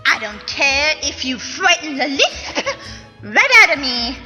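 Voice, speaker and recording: An actress playing a defiant, sputtering, melodramatic damsel in a comic radio play. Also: female voice, high voice, big pitch swings, slow pace, sharp tone, exaggerated voice. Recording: echoey event hall, music behind.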